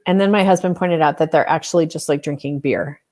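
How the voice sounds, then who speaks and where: Chatty rambling woman, lowish voice. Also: fast, a little mellow. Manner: chatty, rambling, self-mocking. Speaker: podcast co-host. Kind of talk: casual chat over a video call.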